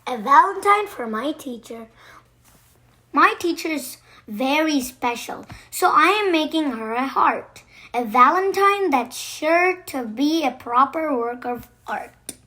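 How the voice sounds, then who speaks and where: A woman reading a children's storybook aloud in a deliberate, expressive way. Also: high voice. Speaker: children's book narrator. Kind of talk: read-aloud storytelling for kids.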